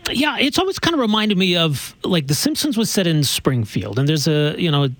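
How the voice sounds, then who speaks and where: A male podcast host asking warm, admiring interview questions and affirming his guest. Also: a little high, big pitch swings, a little fast, dry recording.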